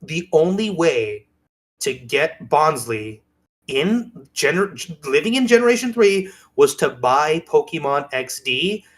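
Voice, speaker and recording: A man's voice: on the high side, sing-song, takes it slowly. A man chatting casually as a podcast co-host, telling things in an enthusiastic, rambling way. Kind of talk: casual chat.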